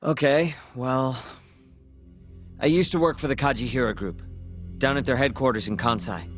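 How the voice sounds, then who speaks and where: A man's voice: mid-range voice, moderate pace, close to an everyday voice. Man acting a guarded young hacker, music behind. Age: young adult. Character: cool, self-assured young male hacker turned vigilante. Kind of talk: naturalistic video game dialogue.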